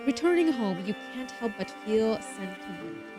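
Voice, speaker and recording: A woman's voice: a little high, lively intonation, moderate pace, loud music behind the voice. A woman hosting a game livestream, expressively reading story text aloud and chatting with viewers in bubbly asides. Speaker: streamer and host.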